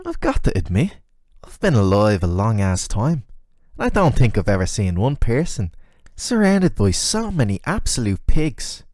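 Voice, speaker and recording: A man's voice: on the low side, huge pitch swings, moderate pace, a little mellow, dry recording, clean studio sound. A man voicing a flirty, sardonic, ageless male demon who teases the listener in casual, slangy, intimate roleplay audio. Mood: mock sympathy.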